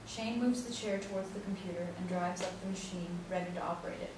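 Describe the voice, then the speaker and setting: A woman's voice: mid-range voice, quick pace. A woman reading scripted stage directions as the narrator of a play. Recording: very echoey room, distant mic, noisy.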